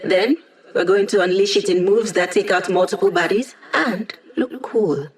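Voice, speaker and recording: A woman's voice: mid-range voice, moderate pace, lightly acted. An actress voicing a cocky, sardonic young female hacker who lives as a hologram in a video game, with slangy, teasing delivery. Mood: focused, urging. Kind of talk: video game character voice lines.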